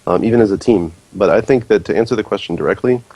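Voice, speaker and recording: A man's voice: lowish voice, fast talker, warm tone, breathy edge, no echo, lo-fi sound. A man speaking earnestly and persuasively on a casual podcast, with many fillers. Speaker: podcast guest, league executive.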